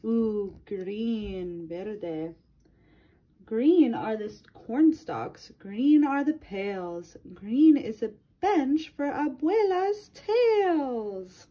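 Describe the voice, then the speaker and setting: A woman's voice: on the high side, animated, very slow, highs cut off. A woman reading a picture book aloud to children in a sing-song manner, addressing her young listeners directly.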